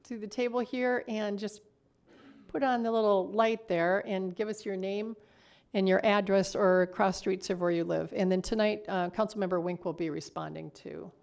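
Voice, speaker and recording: A woman's voice: medium pitch, steady tone, normal speed, gravelly, darkish tone. A woman speaking as a mayor chairing a council meeting, courteous and procedural as she moves through formal business.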